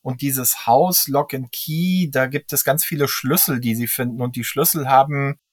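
A man talking casually as a podcast guest, in a halting, searching manner. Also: mid-range voice, dry recording, studio quality. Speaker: podcast guest, audio drama enthusiast. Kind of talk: casual chat.

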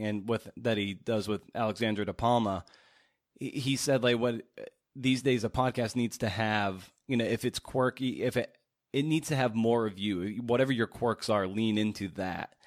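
A man hosting a casual interview, speaking haltingly and circling around as he builds his questions. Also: on the low side, no room sound, studio quality. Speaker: podcast interviewer, host.

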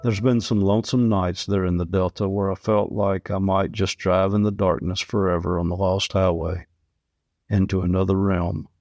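A man's voice: low-pitched, little pitch movement, normal speed, darkish tone, no room sound, studio quality, faint music behind. A man reading a first-person travel story with folksy, colloquial phrasing. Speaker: story reader, farmer.

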